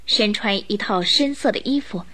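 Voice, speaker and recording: A woman narrating a radio drama in an expressive, scripted storytelling style. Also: mid-range voice, normal speed.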